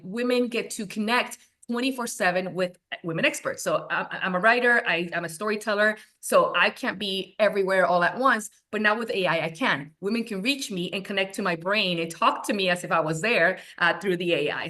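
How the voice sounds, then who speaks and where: Woman, from Venezuela, forties, guest founder, crisp bright tone, animated storyteller. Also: mid-range voice. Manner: animated, acts out her own past thoughts. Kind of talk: conversational interview answers.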